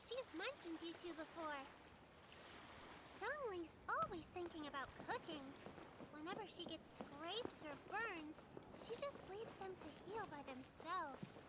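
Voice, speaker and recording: A woman's voice: very high-pitched, expressive, unhurried, very noisy. A woman voicing a polite, earnest, caring little girl apprentice in game cutscene dialogue, sweet and courteous. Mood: caring, grateful.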